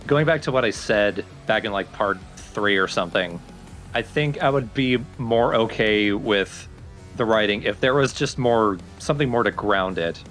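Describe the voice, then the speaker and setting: A man with a fairly low voice talks through his views on a video game in a casual but analytical way. Soft game music sits behind his voice. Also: moderate pace. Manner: analytical, opinionated. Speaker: let's play co-commentator. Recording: background music under the voice.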